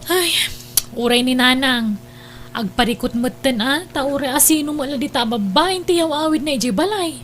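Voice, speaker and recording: A woman's voice: high-pitched, moderate pace, fairly bright tone, slight background noise. A woman with an Ilocano accent playing an exasperated, scolding older sister in an audio drama. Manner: nagging, reproachful.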